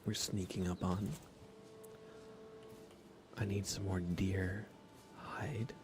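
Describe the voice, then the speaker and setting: A man streaming ASMR in a soft, breathy whisper, chatting casually and gently while he plays a game. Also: sits low, flat delivery, slow, background noise. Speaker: livestream host. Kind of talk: ASMR livestream, casual chat while gaming.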